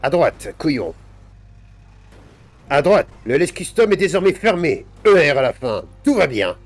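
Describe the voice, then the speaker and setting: A man's voice: fairly high pitch, very animated, unhurried, voice pushed up, full character voice. A man improvising a cheeky, mock-solemn priest in an online roleplay game, with formal, polite phrasing that slips into crudeness. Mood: bossy, agitated. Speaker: gaming video creator playing a priest.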